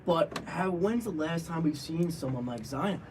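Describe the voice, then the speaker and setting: Excitable man, somewhat high voice. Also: a little slow, distant mic, noisy background. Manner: excited, restarts and fillers, hypes players. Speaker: co-host. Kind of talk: casual sports debate.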